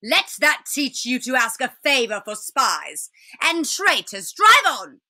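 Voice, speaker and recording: A woman's voice: high-pitched, sing-song, slow pace, sharp tone, exaggerated voice. A woman voicing a cruel, furious witch queen in a storybook, with a commanding, haughty and emphatic delivery. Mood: cold, menacing.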